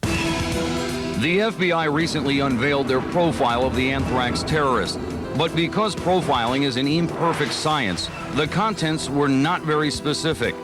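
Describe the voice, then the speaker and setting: A man's voice: on the high side, moderate pace. An American man narrating a scripted television news report as the reporter. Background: music.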